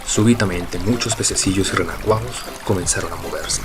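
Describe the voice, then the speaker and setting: A man narrating an audio drama in a measured, scripted storytelling style. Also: low-pitched, background noise.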